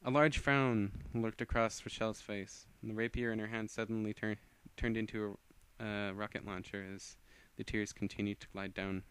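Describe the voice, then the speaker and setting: A man's voice: lowish voice, level tone, normal speed, few pauses, fairly bright tone, clean tone. A man narrating fan fiction as an amateur reader, often stumbling and rereading phrases.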